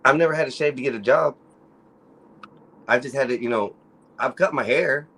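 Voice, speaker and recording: A man with a low, groaning voice and a Spanish-influenced accent, chatting casually and swearing a lot. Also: normal speed, creaky, bright, forceful tone, slightly rough. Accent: Spanish-influenced English. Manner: laid-back, swears a lot. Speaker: stream guest. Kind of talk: casual crude banter.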